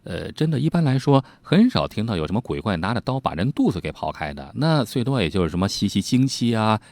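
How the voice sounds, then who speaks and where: A man telling old tales informally, in an animated, colloquial way with teasing asides to his listeners. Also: deep voice, no room sound. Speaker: storyteller, host. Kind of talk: informal storytelling narration of old ghost tales.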